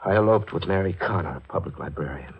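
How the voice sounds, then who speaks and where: Guilt-ridden newsman, lowish voice, raspy edge, halting, anguished, 1940s radio mystery. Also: lively intonation, highs cut off, full character voice. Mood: weary, remorseful. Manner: halting, anguished, stammering confession. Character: guilt-ridden, haunted newspaper reporter confessing under truth serum.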